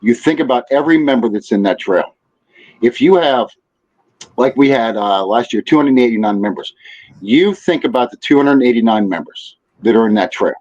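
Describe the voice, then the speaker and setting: A man's voice: mid-range voice, expressive, moderate pace, a little mellow, lo-fi sound. A man speaking as a podcast guest, explaining things casually in an earnest, emphatic way.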